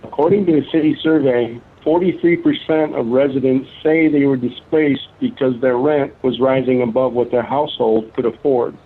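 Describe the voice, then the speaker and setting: A man reads from a script in a medium-pitched voice. The sound is narrow and phone-like. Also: steady tone, normal speed, hardly any pauses, slight background noise. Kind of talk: scripted reading. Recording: tinny, phone-like line.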